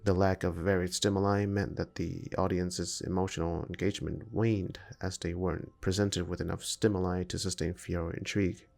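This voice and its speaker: Low, gravelly male essay narrator. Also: level tone, moderate pace, few pauses, a little mellow. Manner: formal, academic reading. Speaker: video essay narrator and amateur filmmaker. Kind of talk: scripted analytical narration.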